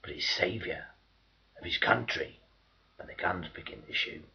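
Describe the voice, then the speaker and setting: A man reciting dramatic verse as an aggrieved, bitterly sarcastic Victorian British soldier, theatrical, with a husky, gravelly voice. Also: deep voice, moderate pace, dull audio, full character voice. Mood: bitter mockery. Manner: theatrical, swinging from indignant shouts to mocking whispers.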